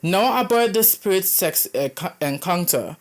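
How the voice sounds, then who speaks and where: Young woman, deep low voice, reads horror posts aloud, dramatic asides, trips over typos. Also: expressive, moderate pace. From Caribbean. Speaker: YouTuber reading horror stories. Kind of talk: reading aloud with casual commentary.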